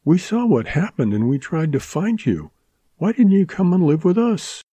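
A man's voice: high-pitched, big pitch swings, moderate pace, mellow, husky, no echo, voice pushed up, exaggerated voice. A man voicing an earnest young ranch woman. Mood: eager. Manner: pleading, heartfelt.

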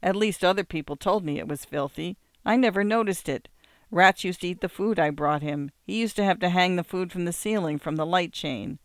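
A woman's voice: lowish voice, fairly quick, dry recording, clean studio sound, lightly acted. A woman reading aloud, voicing a gushing, clingy woman who calls everyone darling. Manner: gushing, says darling often. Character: clingy, flighty old woman friend Joan.

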